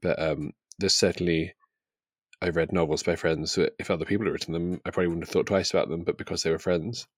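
A British man with a low voice co-hosts a casual book podcast. He is chatty and self-deprecating, recorded close and dry. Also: fairly even intonation, fast, no echo. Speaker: podcast co-host.

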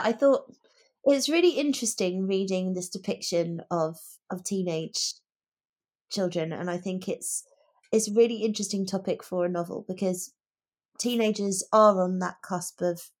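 A woman with a slightly low voice talks through her views on fiction as a podcast host. Her delivery is conversational, reflective and measured. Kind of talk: casual book discussion.

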